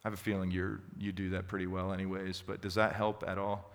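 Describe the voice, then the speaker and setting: A man leading a church group session, answering questions in a conversational, gently encouraging way. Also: low voice, flat delivery, a little fast. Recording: long reverb of a hall.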